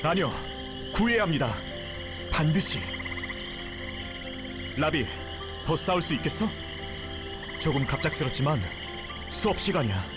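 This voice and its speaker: A man's voice: fairly high pitch, very animated, a little slow, pauses often. A man voicing an earnest, resolute young teacher and fighter, polite and firm, in a Korean video game. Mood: urgent, determined. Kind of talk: dramatic Korean game voice acting. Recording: muffled game audio under loud music.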